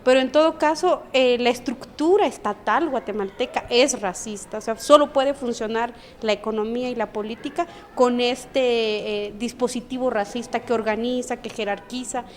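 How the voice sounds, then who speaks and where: A woman's voice: a little high, moderate pace, few pauses. A woman giving an analytical interview answer in a lecturing way. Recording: reverberant room with faint noise.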